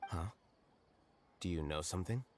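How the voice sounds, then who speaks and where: An actor playing a detached young male scholar in a video game, speaking in a calm, flat, matter-of-fact way. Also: low-pitched, normal speed, a little hiss or hum, close to an everyday voice. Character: aloof, cool-headed male scholar, young adult. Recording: game audio with clear background music.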